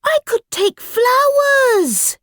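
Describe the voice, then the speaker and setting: A woman's voice: extremely high pitch, very animated, extremely slow, a bit bright, no echo, clean studio sound, pitched above the performer's own voice, heavily acted. A woman voicing a sweet, trusting little fairy-tale girl with an innocent, eager manner. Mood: bright, cheerful.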